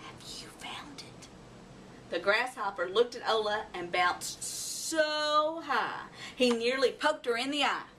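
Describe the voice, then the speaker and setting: A woman's voice: a little high, lively intonation, a little slow, bright, forceful tone. A woman reading a children's story aloud expressively, acting out animal sounds and voices. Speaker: storybook reader. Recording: noisy, distant microphone.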